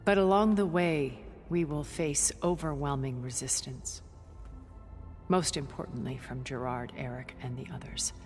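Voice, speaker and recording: An actress playing a self-possessed woman of an elite faction, speaking in a measured, formal, guarded way in a video game cutscene. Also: extremely low pitch, fairly even intonation, darkish tone. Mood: grave, controlled. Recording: long reverb, music behind. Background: music.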